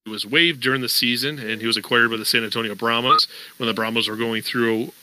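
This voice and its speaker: A man's voice: medium pitch, fairly even intonation, fast talker, sharp tone, dry recording, poor audio. A man hosting a sports podcast, talking casually and at length with plenty of fillers. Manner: conversational, many fillers, explains at length. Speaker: podcast host.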